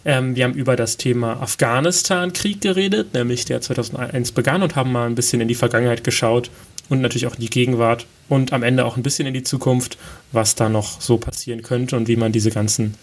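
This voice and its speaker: A man's voice: medium pitch, fairly even intonation, quick pace. A man co-hosting a podcast in chatty casual talk, joking about himself, with many fillers. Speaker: podcast co-host. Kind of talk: casual chat.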